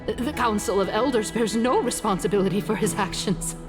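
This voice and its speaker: A woman's voice: medium pitch, brisk, music in the background, natural voice. An actress playing a proud, indignant female council elder, sputtering with outrage in a game cutscene. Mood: defensive.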